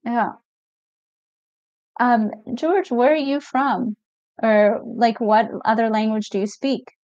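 A middle-aged woman from Ethiopia, a mental health coach hosting a live stream Q&A in a relaxed, chatty way, reading viewer comments aloud with many fillers. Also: on the high side, slow pace, no echo. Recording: dull lo-fi stream audio, dry.

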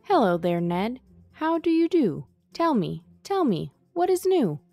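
A woman's voice: high voice, very animated, unhurried, a little mellow, faint music behind, voice pushed up, exaggerated voice. A woman voicing a storybook creature on the telephone, calling out in an insistent, exclamatory way. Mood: eager, insistent.

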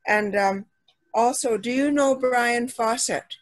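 A woman's voice: a little high, takes it slowly, dry recording. Rambling woman on a dry video call. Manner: rambling, many fillers and restarts, warm recommendations. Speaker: participant. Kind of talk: casual chat on a video call.

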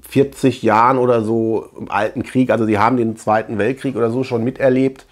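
A middle-aged man giving a casual film review to camera as a host, halting as he searches for words. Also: mid-range voice, steady tone. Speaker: host of a film collection channel. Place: small room lined with shelves of collectibles.

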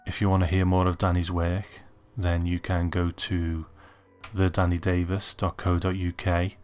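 Low-pitched podcast host, a man. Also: monotone, normal speed, darkish tone. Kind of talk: conversational podcast introduction. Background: faint music.

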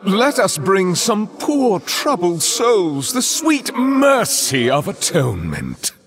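An actor playing a haughty, sadistic vampiric lord in a video game, with theatrical, pompous, aristocratic delivery. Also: male voice, high-pitched, huge pitch swings, slow, fairly bright tone, full character voice. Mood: brooding, mocking. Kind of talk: video game character voice lines.

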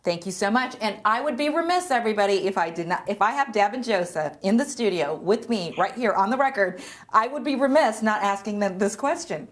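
A woman in her forties hosting a business talk show from a TV studio, chatty and quick to laugh at herself. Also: mid-range voice, animated.

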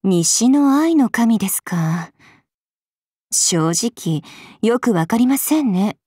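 A woman's voice: fairly high pitch, animated, takes it slowly, mellow, breathy edge, no echo, studio quality, full character voice. A woman voicing an aloof, languid adult demon goddess in an anime game, composed, polite and faintly sardonic. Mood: cool, musing. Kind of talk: Japanese anime game character lines.

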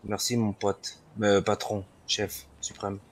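Low-voiced man in game roleplay. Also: little pitch movement, slow pace, slight background noise. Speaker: player roleplaying a gang underling. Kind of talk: casual improvised game roleplay.

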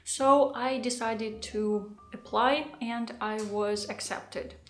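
A woman in her thirties, not a native English speaker, talking to the camera at home in a thoughtful, unhurried vlog with soft laughs. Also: medium pitch. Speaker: vlogger. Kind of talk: casual talking-head vlog. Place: home living room, indoors. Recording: echoey room.

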